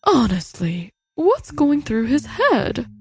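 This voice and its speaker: A woman's voice: high-pitched, huge pitch swings, takes it slowly, a little mellow, exaggerated voice. A woman voicing a kindly but indignant middle-aged mother in a dubbed manga drama. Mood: appalled, indignant.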